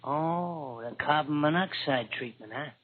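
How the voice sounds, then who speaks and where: An actor playing a compliant, uneasy gangster's sidekick with tough, questioning, slangy talk in 1940s radio crime drama style. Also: male voice, on the high side, measured pace, lightly acted. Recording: noisy, muffled old radio recording.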